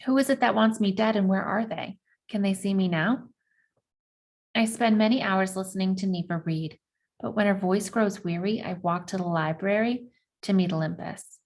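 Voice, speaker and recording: A woman reading a children's historical novel aloud in the first person, with expressive delivery and the odd aside to her listeners. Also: medium pitch.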